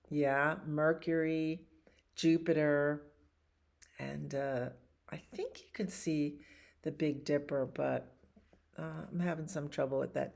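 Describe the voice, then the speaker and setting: A woman with a low, creaky voice talks to young children at a bedtime story time. She takes her time, adding chatty asides and simple questions as a library storyteller. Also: darkish tone.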